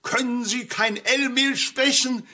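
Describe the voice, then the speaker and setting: An actor playing a pompous, blustering town mayor in a children's audio play, theatrical, saying L for every R. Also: male voice, extremely high pitch, steady tone, slow, sharp tone, exaggerated voice. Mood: indignant. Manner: theatrical, cannot pronounce R, saying L instead.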